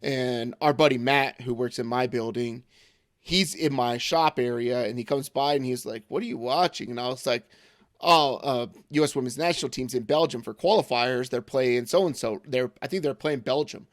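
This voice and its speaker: A hoarse American man in his thirties talking sports on a podcast, loose and rambling, full of ums and quick jokes. His mid-pitched voice is recorded close and dry, with no room sound at all. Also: no room sound. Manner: rambling, filler-heavy, joking. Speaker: podcast co-host. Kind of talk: casual sports chat.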